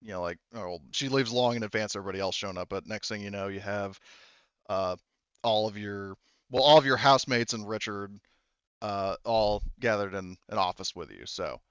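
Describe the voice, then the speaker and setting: A man acting as game master of a tabletop roleplaying game, explaining things conversationally in a halting, thinking-aloud way with trailing asides. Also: on the low side, a bit bright, smooth, dry recording, dull audio.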